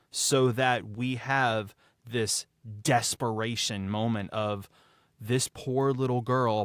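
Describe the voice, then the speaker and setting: A man speaking as a podcast guest, an audio drama writer and actor reflecting on his work with emphatic, dramatic phrasing and deliberate pauses. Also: medium pitch, fairly bright tone, no room sound, clean studio sound. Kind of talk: reflective behind-the-scenes discussion.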